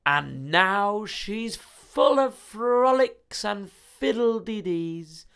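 A man narrates a children's picture book expressively. His delivery is slow and measured, with plenty of rise and fall in pitch. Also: lively intonation, vocal fry, a bit bright, clear, resonant tone. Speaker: story reader.

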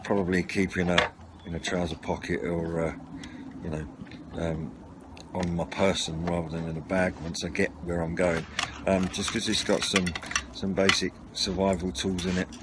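A man's voice: sits low, level tone, moderate pace. A man giving an informal, slightly rambling and hesitant run-through to camera, recorded outdoors at a table on a camera mic. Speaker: video channel host. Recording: noisy outdoor home recording.

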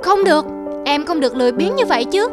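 A woman's voice: high voice, lively intonation, quick pace. A woman voicing a diligent, protective older brother antelope who lectures earnestly in a cartoon. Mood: earnest, urging. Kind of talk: cartoon voice acting. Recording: loud music under the voice.

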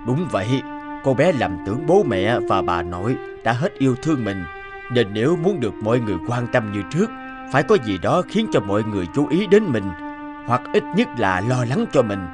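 A man's voice: mid-range voice, very animated, a little fast, over music, close to an everyday voice. A man voicing a sober, authoritative middle-aged doctor in a cartoon, formal and explanatory. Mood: grave, explaining. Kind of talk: cartoon voice acting.

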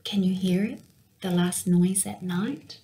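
A young woman reading a picture book aloud for children in an expressive storytelling manner. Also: on the low side, a little slow, a little hiss or hum. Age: young adult. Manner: expressive, with acted-out sound words. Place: small indoor room with window blinds.

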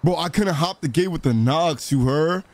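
A man's voice: fairly high pitch, normal speed. A man streaming a game, chatting casually in street slang. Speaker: live streamer. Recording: game sirens and noise behind.

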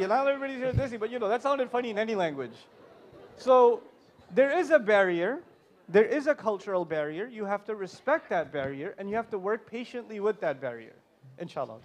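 A man speaking on a panel at a convention, animated, joking and emphatic with the audience. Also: very high-pitched. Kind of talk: live talk to an audience. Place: convention hall.

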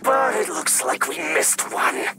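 An actor playing a menacing, theatrical masked gang leader with a taunting manner in a video game. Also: male voice, takes it slowly, over loud music, close to an everyday voice. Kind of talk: video game cutscene acting.

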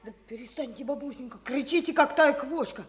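Radio drama: actress as brave working-class boy, raised pitch, colloquial and blunt, speech. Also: brisk, lightly acted. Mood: urgent, defiant.

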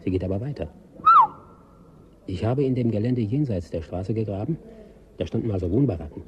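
A man's voice: on the low side, lively intonation, brisk, very noisy, natural voice. An actor playing a secretive, eager man who digs for salvage, cagey and confiding, in a German radio drama. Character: secretive, eager male scrap digger.

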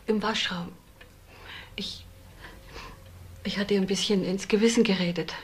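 An actress playing a discreet, halting woman witness being questioned in a German crime radio drama. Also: mid-range voice, steady tone, close to an everyday voice. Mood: hesitant, uneasy. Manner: halting, careful.

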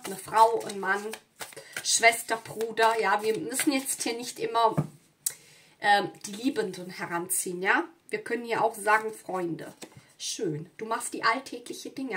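Casting: female tarot reader, mid-pitched voice, informal spoken delivery straight to the viewer, frequent little check-in tags. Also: normal speed, fairly bright tone, slight background noise. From southern Europe. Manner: conversational, often checking in with "ja". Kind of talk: casual spoken card reading to viewers.

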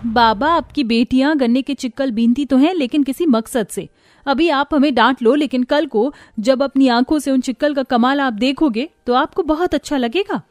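A woman's voice: high voice, moderate pace, darkish tone, no echo, clean studio sound, close to an everyday voice. A woman voicing a feisty, determined poor young village sister in a cartoon story, defiant and earnest.

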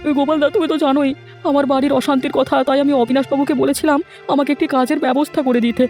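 Man acting meek young cartoon villager, high raised voice, hesitant, deferential, stammering, faint music behind. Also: flat delivery, very fast, a little mellow. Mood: guilty, hesitant. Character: meek, guilt-ridden young village husband seeking work. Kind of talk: Bengali fairy tale cartoon acting.

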